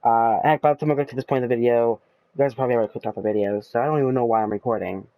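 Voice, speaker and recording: A man's voice: medium pitch, racing, dry recording, narrow, tinny audio. Rambling man with a dry close sound. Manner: rambling, lots of ums and uhs, excitable; casual swearing. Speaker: gaming YouTuber. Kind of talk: casual rambling game commentary.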